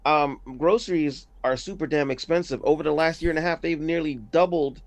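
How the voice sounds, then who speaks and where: A man's voice: on the high side, a little fast, no room sound. A man from Puerto Rico co-hosting a podcast, chatting bluntly and joking about money and games. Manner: blunt, jokey, speaks his mind. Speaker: podcast co-host. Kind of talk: casual podcast chat.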